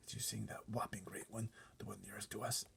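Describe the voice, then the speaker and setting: A man voicing a gentle, nervous giant who speaks in a hushed way with folksy, muddled grammar. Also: fairly low pitch, flat delivery, fairly quick, vocal fry, slight background noise, voice pushed up. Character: gentle, nervous friendly old-fashioned giant.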